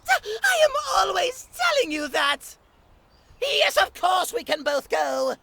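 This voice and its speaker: A man voicing a boisterous, theatrical, eager skeleton brother in a cartoon comic dub, with a raspy voice and grandiose delivery. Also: very high voice, sing-song, slow pace, pushed and bright, heavily acted. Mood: excited. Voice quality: raspy, gravelly. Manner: grandiose, emphatic declarations.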